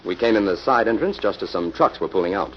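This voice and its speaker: A man's voice: low-pitched, lively intonation, quick pace. A man playing a composed, determined investigator in an old-time radio drama. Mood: businesslike. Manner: composed, probing, businesslike. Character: calm, determined male investigator working with police. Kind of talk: 1940s radio acting. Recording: muffled, noisy old radio recording.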